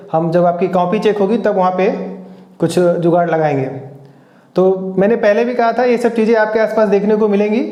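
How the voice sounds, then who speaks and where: High-pitched man coaching students, echoey room. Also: warm tone. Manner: instructive, repeats points for emphasis. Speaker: exam coach and channel host. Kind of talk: informal advice talk to exam aspirants.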